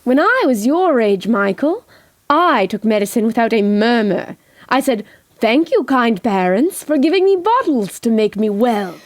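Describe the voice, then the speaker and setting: A woman plays a vain Edwardian father in an audio drama, blustering and melodramatic in a high voice. The delivery is slow and stretched out for effect. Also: expressive, no echo. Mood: pompous, stubborn. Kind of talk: audio drama acting with some narration.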